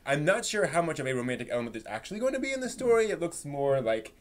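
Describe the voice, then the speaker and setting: A man hosting a casual anime news chat with co-hosts, talking in a halting, agreeable way. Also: fairly high pitch, big pitch swings. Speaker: host presenting anime news.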